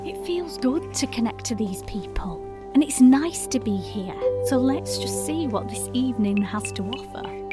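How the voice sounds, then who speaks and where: A woman's voice: on the high side, lively intonation, moderate pace, close to an everyday voice. An actress playing an eager, open-hearted woman in an audio drama, speaking earnestly and expressively. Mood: earnest, wistful. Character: Molly, eager open-hearted woman, game for folk magic. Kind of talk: audio drama monologue and dialogue. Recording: music under the voice.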